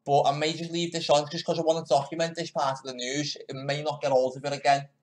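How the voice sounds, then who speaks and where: A man's voice: fairly high pitch, little pitch movement, fast talker. A man hosting a live stream, talking over a news broadcast with scornful, crude commentary.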